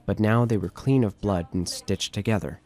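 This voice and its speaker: A man's voice: on the low side, moderate pace, darkish tone. A man giving scripted narration of a horror story as the narrator of an audio drama. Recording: music bed under narration.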